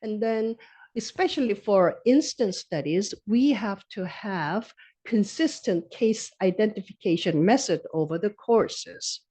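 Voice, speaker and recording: A woman's voice: medium pitch, a little slow, husky. A middle-aged woman from Korea, a native Korean speaker, giving an academic medical lecture in an explanatory, plain-spoken way with a Korean accent. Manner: explanatory, steady, plain-spoken with occasional self-corrections. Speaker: physician researcher presenting at grand rounds. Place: lecture hall.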